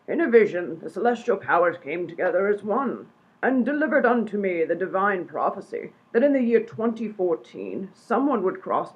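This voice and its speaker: A woman's voice: mid-range voice, breathy edge. A woman playing a pompous, mystical psychic in a comic radio play, delivering theatrical, portentous pronouncements. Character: grandiose mystical female psychic, solemn and pompous. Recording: dull, lo-fi sound.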